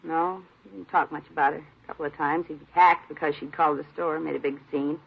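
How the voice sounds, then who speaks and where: A muffled old radio drama. a scared woman on the defensive, her voice husky and creaky, giving her account in plain, halting phrases. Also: low voice, fairly even intonation, exaggerated voice. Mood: subdued, reluctant. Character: frightened, defensive woman questioned about her lover's killing. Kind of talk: 1950s radio drama acting.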